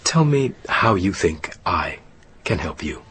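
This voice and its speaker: Man, formal therapist, deep voice, careful, measured, radio play, faint noise. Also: sing-song, fairly bright tone, heavily acted. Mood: courteous, professional. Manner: formal, careful, punctuated with pauses. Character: measured, probing American male psychotherapist. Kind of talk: naturalistic radio drama dialogue.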